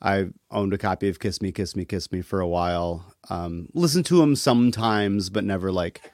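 A man talking casually as a podcast guest, thoughtful and halting, with a wry streak. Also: deep voice, a little mellow, dry recording, studio quality. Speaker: interview guest, musician.

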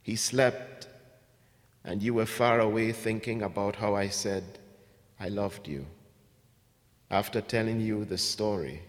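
Guy from ghana reading his poems, measured and deliberate, a bit halting between them, lots of hall reverb. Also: lowish voice. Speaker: poet. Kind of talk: poetry reading with spoken introductions.

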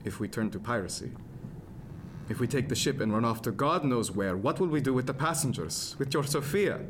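An actor playing an anxious, frank ship's doctor of the 1710s in a radio drama, with formal old-fashioned phrasing and an earnest manner. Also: male voice, mid-range voice, lively intonation, a little fast, noisy background, lightly acted. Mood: urgent. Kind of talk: serial radio drama acting, period seafaring. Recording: music behind the voice, plucked guitar.

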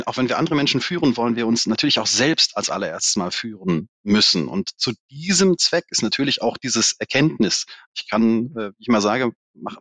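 Ein Mann, der als Experte in einer Online-Runde locker spricht und Sätze oft neu ansetzt. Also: male voice, mid-range voice, a bit bright, no echo. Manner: casual, restarts sentences. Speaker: panel expert. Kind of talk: panel discussion answer.

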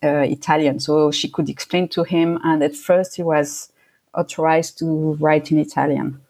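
Guest: middle-aged woman from Switzerland, low-ish voice, thoughtful and halting. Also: little pitch movement, a little mellow. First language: French. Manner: thoughtful, frequent restarts and fillers. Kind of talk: casual interview conversation.

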